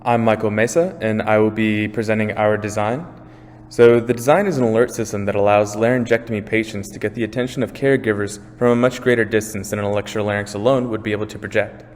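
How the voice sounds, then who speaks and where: A man's voice: lowish voice, fairly even intonation, fast talker. A young man giving a scripted slide presentation as a student. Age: young adult. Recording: reverberant room, faint background noise.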